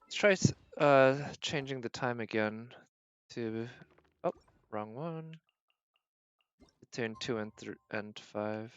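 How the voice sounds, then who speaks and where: A male game streamer with a mid-pitched voice talks casually as he plays. He thinks out loud in short, murmured remarks, in the loose style of live commentary. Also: takes it slowly, pauses often, clear, resonant tone, dry recording, highs cut off.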